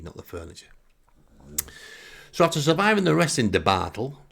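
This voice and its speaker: A low-voiced man with a Northern English accent tells a comic story about himself. His delivery is wry and self-mocking, with lively swings in pitch and plenty of gravelly creak. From Northern England. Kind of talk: humorous first-person anecdote.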